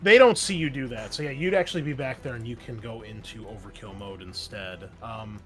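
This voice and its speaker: A man's voice: medium pitch, fairly quick. Man game master, mid-range voice, faint music underneath, explains rules between fillers. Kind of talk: running a tabletop game.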